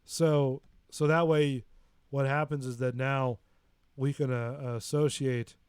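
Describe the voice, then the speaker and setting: A man's voice: mid-range voice, steady tone, slow pace, a little mellow, clean tone, dry recording. A man hosting a casual talk show, rambling and hesitant with lots of "uh" and "sort of". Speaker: host.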